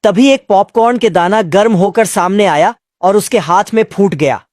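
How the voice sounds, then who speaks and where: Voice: medium pitch, moderate pace, a little mellow, dry recording, clean studio sound. A narrator telling a comic cartoon recap from a script in colloquial, slangy storytelling.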